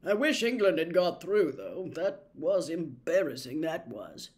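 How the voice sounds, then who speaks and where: Woman playing a loud blunt young sports fan. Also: low voice, very animated, a little slow.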